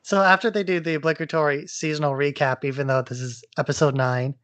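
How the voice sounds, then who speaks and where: A man's voice: fairly high pitch, steady tone, fast, a bit bright. A man co-hosting a podcast with casual, conversational commentary and a wry, informal way of talking. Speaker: podcast co-host.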